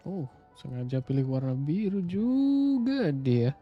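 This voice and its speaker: A man's voice: on the high side, very animated, a little slow, dull audio. A man with an Indonesian accent giving casual live commentary as a game streamer. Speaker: game livestreamer. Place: indoors, streaming setup. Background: faint game music.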